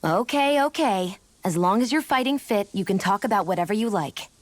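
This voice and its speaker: A woman voicing a composed, poised adult warrior in a video game, speaking in a measured, formal manner. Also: mid-range voice, animated, no room sound, natural voice. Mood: calm, advising. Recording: studio voice over game music.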